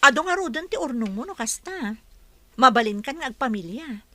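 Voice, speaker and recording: A young Filipino working woman in a radio drama. Her voice is bright and rather high, recorded close and dry. She speaks firmly, with doubt, as if weighing the other person up. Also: lively intonation, a little fast, no echo. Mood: curious.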